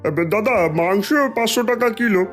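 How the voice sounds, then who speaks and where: A man's voice: high-pitched, brisk, soft background music, lightly acted. A man voicing a shifty market vendor with hesitant openers in a cartoon story. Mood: evasive. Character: shifty market vendor selling cheap rotten goods. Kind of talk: cartoon moral-story voice acting.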